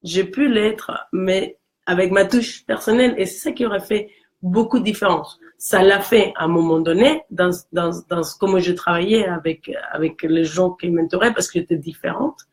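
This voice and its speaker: A woman from Mexico with a Mexican Spanish accent and a low voice, talking earnestly in a casual conversation. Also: normal speed. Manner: earnest, encouraging, with frequent restarts.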